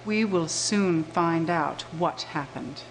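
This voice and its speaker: A woman playing a businesslike resistance commander in a video game cutscene, speaking in a formal, measured way. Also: fairly low pitch, a little hiss or hum, low-quality recording. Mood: grave, official. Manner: formal, measured, matter-of-fact. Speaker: military commanding officer.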